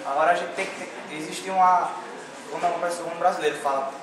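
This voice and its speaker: A man being interviewed, answering haltingly with many restarts. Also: a little high, fairly even intonation, a crowd in the background. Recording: very echoey, distant, noisy.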